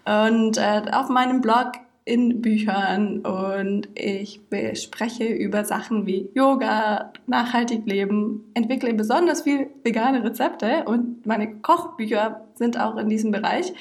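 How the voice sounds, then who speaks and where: A woman's voice: fairly high pitch, measured pace. A woman of twenty-nine co-hosting a podcast in a casual chat, with a giggly, bubbly way of talking. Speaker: podcast co-host.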